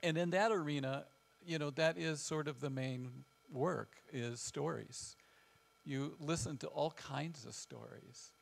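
An older American man with a Midwestern accent and a gravelly voice, giving an informal storytelling talk in a halting, reflective way with frequent pauses. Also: fairly high pitch. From west central Illinois, United States. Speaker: guest speaker, family counselor. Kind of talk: informal presentation with personal storytelling. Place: large church hall with wooden floor, small seated audience.

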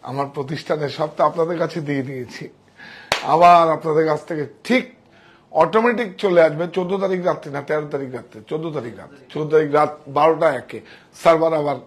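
A husky man presents goods in a shop. His pitch sits a little high for a man. He talks casually and emphatically, repeating key phrases as he sells. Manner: emphatic, repetitive. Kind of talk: casual sales demonstration. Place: indoor saree shop showroom. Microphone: wireless clip-on mic on chest.

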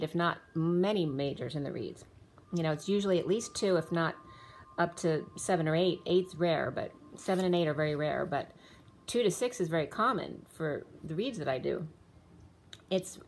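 A woman giving a casual tarot reading, rambling with restarts and going a little hoarse as she loses her voice. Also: fairly low pitch, a little mellow. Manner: rambling, many restarts and fillers, reads off card names and times. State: losing her voice. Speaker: tarot reader. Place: indoors, room with a table of spread cards.

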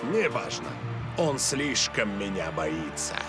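A man's voice: fairly low pitch, big pitch swings, slow pace. A man voicing a menacing, arrogant rival footballer in a cartoon. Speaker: cartoon voice actor. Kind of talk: animated cartoon dubbing. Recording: loud music behind the voice.

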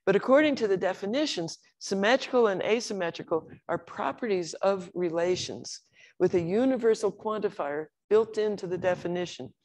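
An older woman lecturing on logic and semantics in a measured, explanatory way, with occasional restarts. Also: medium pitch, lively intonation, a little mellow, low-quality recording. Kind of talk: academic lecture on slides.

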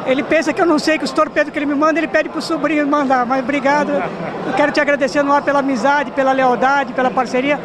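A high, quick voice belongs to a middle-aged man with an effusive, flattering manner, interviewing a guest on TV. He holds a handheld mic in a packed ballroom. Crowd chatter and a loud band fill the noisy background. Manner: effusive, fast talking, flattering. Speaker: TV program host interviewer. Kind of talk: TV interview at a gala. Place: large indoor ballroom party. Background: crowd chatter and band noise.

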